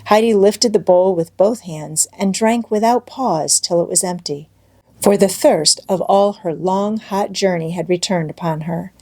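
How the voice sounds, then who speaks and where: A woman's voice: on the low side, a little slow, a little mellow. A woman reading scripted storybook narration as an audiobook narrator.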